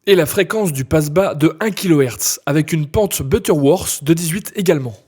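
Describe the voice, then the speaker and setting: Male instructor, slightly high husky voice, scripted step-by-step software tutorial read. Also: normal speed.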